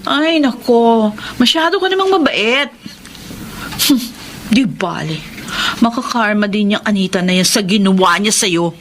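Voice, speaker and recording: A woman's voice: mid-range voice, expressive, unhurried, lightly acted. A woman acting an indignant, loyal office friend in a Filipino radio drama, exasperated and emphatic. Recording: noisy ambience behind dialogue.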